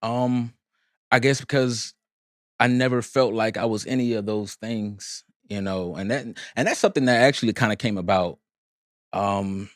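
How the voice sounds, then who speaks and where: A man speaking Arabic as his first language, chatting as a podcast guest in a relaxed, rambling way with lots of fillers. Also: lowish voice, moderate pace, no echo, clean studio sound. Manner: laid-back, rambling with many fillers. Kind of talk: casual interview chat.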